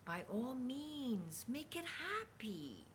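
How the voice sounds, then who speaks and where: A woman reading spiritual teachings aloud in a deliberate, emphatic way, sometimes dropping toward a breathy near-whisper. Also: high-pitched, sing-song, a little hiss or hum. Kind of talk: slow dramatic reading of spiritual dialogue with casual asides.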